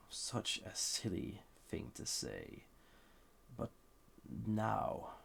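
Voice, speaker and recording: A man playing a lonely, flirty banished villain, an ancient living weapon, in an intimate audio roleplay with a breathy, gravelly voice and dramatic pauses. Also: lowish voice, full character voice. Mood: wistful, regretful. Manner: hesitant, soft-spoken, intimate, dramatic pauses.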